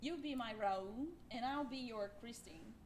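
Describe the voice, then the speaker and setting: Young woman recording herself on her cell phone, a bit nervous and friendly, slightly high voice. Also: a little slow, crowd noise. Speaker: duet partner. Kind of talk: recorded video greeting.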